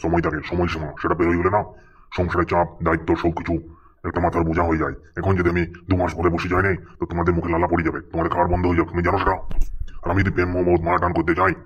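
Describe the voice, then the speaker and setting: A man's voice: low-pitched, monotone, rapid-fire, breathy edge, lo-fi sound. A man voicing a weary, grumbling village husband of forty, lecturing and bossy, in a cartoon.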